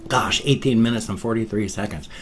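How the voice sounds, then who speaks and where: A man in his fifties from the United States, chatting casually in a vlog and drawling his thank-yous slowly. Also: on the low side, soft background music. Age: 50s. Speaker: vlogger.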